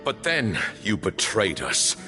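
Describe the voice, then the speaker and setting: An actor playing a stern, grudge-bearing temple leader in a video game, speaking in a solemn, measured, accusatory way. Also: male voice, fairly low pitch, wildly swooping pitch, pushed and bright, soft background music, full character voice. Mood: stern, accusing. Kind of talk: dramatic video game voice acting.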